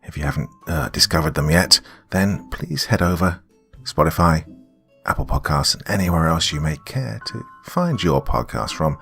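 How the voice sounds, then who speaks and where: A man's voice: very deep voice, expressive, normal speed, vocal fry, a bit bright, soft background music. A man who narrates horror stories and hosts, speaking as a storyteller. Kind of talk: dramatic first-person story narration and casual sign-off.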